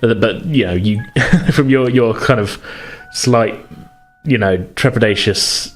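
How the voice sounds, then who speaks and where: A man's voice: fairly low pitch, measured pace, faint music behind. A man narrates as game master of a tabletop game, in a rambling, self-correcting manner.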